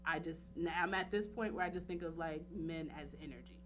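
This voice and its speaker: A woman in her thirties chatting casually and candidly on a talk show, animated and rambling with frequent filler phrases. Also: sits low, brisk, over music. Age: 30s. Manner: rambling, animated, peppered with "like" and "you know".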